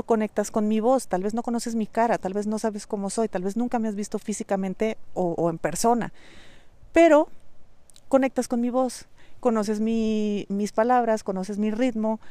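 A woman's voice: mid-range voice, dark tone, dry recording. A Mexican woman hosting her own podcast, talking straight to the listener in a direct, coaching way and repeating key points for emphasis. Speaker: podcast host. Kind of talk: solo podcast monologue on online business.

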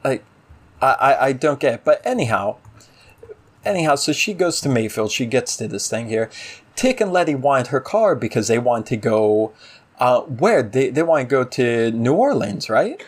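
A man with a medium-pitched voice talks casually as a review show co-host. He is opinionated and emphatic, ranting in exasperation and throwing out rhetorical questions. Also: big pitch swings, moderate pace. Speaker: podcast co-host reviewing a TV series. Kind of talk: casual opinionated episode discussion.

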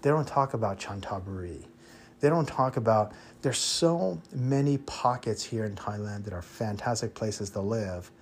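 A man's voice: fairly low pitch, lively intonation, normal speed, a little hiss or hum. An American man hosting an informal podcast monologue, giving opinionated advice in a blunt way and repeating points for emphasis. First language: English. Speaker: expat podcast host.

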